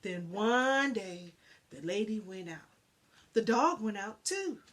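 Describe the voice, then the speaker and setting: Female storybook reader, expressive scripted narration, very unhurried, mid-range voice. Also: mid-range voice, noisy background.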